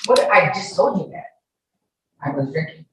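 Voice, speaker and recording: A woman's voice: fairly low pitch, animated, moderate pace. A woman giving courtroom testimony as a witness, answering questions in an emphatic, defensive way. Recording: echoey, distant courtroom audio with faint noise.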